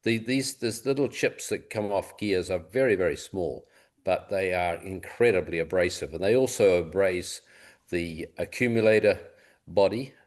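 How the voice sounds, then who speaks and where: A man's voice: low voice, moderate pace, darkish tone. A man explaining mechanical matters from hands-on experience on a group conference call. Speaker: experienced gearbox rebuilder.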